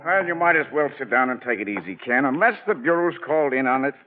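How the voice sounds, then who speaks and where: A man playing a measured, cautious bureau chief in a 1940s radio mystery serial. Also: mid-range voice, lively intonation, faint music behind, close to an everyday voice. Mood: resigned. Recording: dull band-limited 1940s radio recording.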